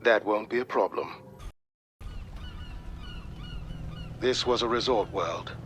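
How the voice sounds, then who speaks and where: An actor playing a calm, grave military advisor who briefs in a measured, formal way in a video game cutscene. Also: male voice, lowish voice, slight background noise, close to an everyday voice. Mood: businesslike.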